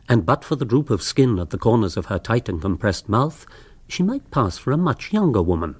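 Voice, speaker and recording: An actor playing a wry, observant narrator, reading literary narration for a radio drama. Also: male voice, on the low side, darkish tone. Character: wry, observant male storyteller.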